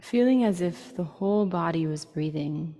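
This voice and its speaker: A woman leading a guided meditation in a slow, soothing style, giving unhurried instructions in short phrases with long pauses. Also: lowish voice, lively intonation, mellow. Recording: long reverberant tail.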